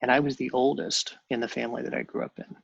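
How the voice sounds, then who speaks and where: A man in his fifties telling his own story as a guest, halting with many pauses and fillers. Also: mid-range voice, flat delivery, creaky, fairly bright tone, breathy edge, lo-fi sound. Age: 50s. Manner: halting, many ums and restarts. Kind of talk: personal storytelling on a panel show.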